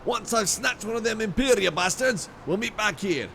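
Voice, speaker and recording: A man's voice: sits high, expressive, normal speed, creaky, fairly bright tone, a little hiss or hum, full character voice. A man playing a blunt, foul-mouthed rustic rebel scout in a video game. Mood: gruff, scheming. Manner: folksy, coarse, blunt speech. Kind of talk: video game character acting.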